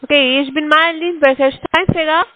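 High-voiced woman cold-calling. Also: steady tone, no room sound, lo-fi sound. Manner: halting, scripted pitch. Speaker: scam caller. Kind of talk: phone sales pitch.

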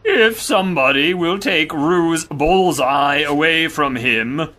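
A man playing a gloomy, self-important donkey poet in a children's audio drama, ponderous, halting and grumbling. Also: on the high side, bright, forceful tone. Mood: pompous, droll.

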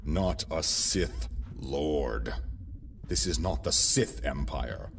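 A man playing a scheming imperial governor in a sci-fi audio drama, formal and measured with an oily courtesy. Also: rumbling low, animated, creaky, exaggerated voice. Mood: smug, commanding. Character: scheming imperial governor, outwardly deferential, secretly mutinous. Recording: film music behind the voice.

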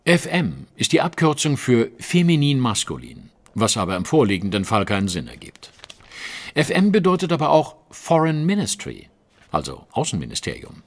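Self-assured genius detective, audio drama; precise lecturing delivery with lively, swooping intonation. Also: male voice, mid-range voice, normal speed. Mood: grave, deductive. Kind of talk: German audio drama acting.

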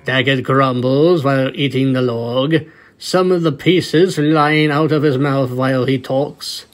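A man's voice: medium pitch, unhurried. A man reading a story aloud as a scripted narration. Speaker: fanfiction reader, narrator.

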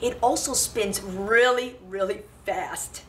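A woman, perhaps in her thirties, a preschool teacher talking to small children in an animated, simple way with lots of questions. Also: medium pitch, slow pace, a little hiss or hum. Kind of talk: children's lesson and read-aloud. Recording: roomy, echoing, distant microphone, faint music.

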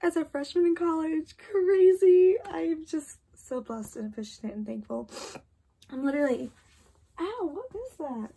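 Eighteen-year-old woman, sleepy bedroom vlog, rambling filler-heavy chat; mellow, gravelly voice. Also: high voice, moderate pace. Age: 18, about to turn 19. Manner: chatty, lots of "like" and "literally", restarts. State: tired, late at night. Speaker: vlogger. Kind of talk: casual late-night chat to camera. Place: bedroom at home, indoors.

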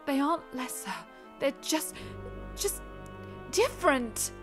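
A young dragon daughter played by a woman pleads in a very high, animated voice. She is timid yet earnest and hopeful. It is fantasy audio drama acting with music underneath. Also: takes it slowly. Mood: timid, hesitant.

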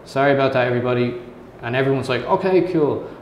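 Chatty Irish host in a roomy room. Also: male voice, mid-range voice, fast, darkish tone, lo-fi sound. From Ireland. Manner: casual, enthusiastic, chatty. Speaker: interviewer, host. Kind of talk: conversational interview. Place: office meeting room at a table. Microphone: lapel mic. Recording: roomy room with faint noise.

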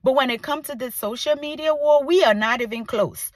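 A woman's voice: high voice, brisk, no echo, studio quality. A woman in her forties from Ghana, with an accent between Ghanaian and American, speaking passionately to her followers in a vlog. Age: 40s. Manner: passionate, emphatic, repeats for emphasis. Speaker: content creator. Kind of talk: direct-to-camera vlog.

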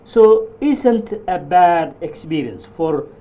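A middle-aged man from Somalia hosting a TV studio discussion, speaking haltingly with many fillers and restarts. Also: high voice, expressive, mellow. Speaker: TV talk show host. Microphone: lapel mic.

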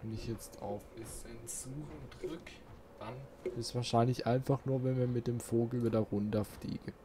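Guy doing a let's play, takes his time, mutters his thoughts, clear noise behind him. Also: medium pitch. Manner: informal, musing to himself and viewers. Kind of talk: casual gameplay commentary, thinking aloud.

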